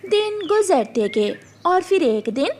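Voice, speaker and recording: A woman narrating a children's story in an expressive, scripted storytelling style. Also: very high-pitched, slow, heavy background noise.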